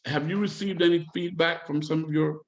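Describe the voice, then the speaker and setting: A man's voice: on the high side, steady tone, fairly quick, highs cut off. A man interviewing a guest, asking short questions and giving brief encouraging murmurs.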